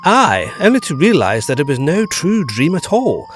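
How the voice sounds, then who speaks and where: Bold, inquisitive period gentleman male actor, highish voice with hugely swooping intonation, theatrical audio drama. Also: huge pitch swings, normal speed, faint music behind. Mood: wondering storytelling. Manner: theatrical, old-fashioned, inquisitive. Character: bold, curious gentleman friend, fascinated by ghosts. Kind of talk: period ghost story audio drama acting.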